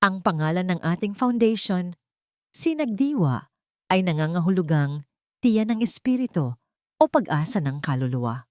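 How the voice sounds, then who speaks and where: A determined, hopeful Filipino woman leader in an audiobook story, voiced by a woman narrator. She speaks formally and earnestly, declaiming in a slightly low voice with plenty of melodic movement. The recording is dry. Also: sing-song, moderate pace, warm tone, dry recording. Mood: earnest, resolute. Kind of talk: audiobook character speech.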